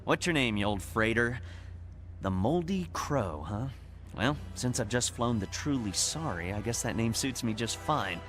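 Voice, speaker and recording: An actor playing a wry, scrappy rebel pilot in an audio drama, often muttering to himself. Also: male voice, mid-range voice, lively intonation, a little slow, a bit bright, soft background music. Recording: clear ship ambience behind.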